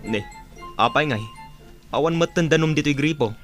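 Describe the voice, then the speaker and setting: A man's voice: fairly high pitch, normal speed, a bit bright, soft background music, close to an everyday voice. A man playing a weary, grumbling father in a radio comedy drama, plaintive and speaking with an Ilocano accent.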